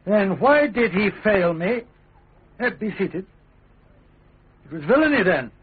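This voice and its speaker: Radio drama king, young, English, self-righteous and volatile. Very husky voice, theatrical delivery, narrow-band broadcast sound. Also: sits high, very animated, unhurried, heavily acted. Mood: indignant, brooding. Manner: theatrical, abrupt swings between bluster and hush. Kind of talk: classic British radio drama acting.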